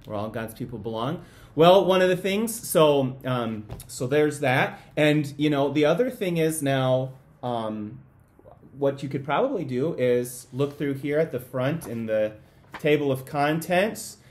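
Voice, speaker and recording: A man in his thirties explaining things to families in a relaxed, conversational way, recorded at home on a camera at desk distance. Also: medium pitch, a little slow. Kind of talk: casual explanatory chat. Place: small home room.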